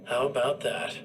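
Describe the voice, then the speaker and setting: An actor playing a smug, menacing evil doppelganger who talks in a slow, mocking, sneering way. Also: male voice, medium pitch, a bit bright, a little hiss or hum, poor audio.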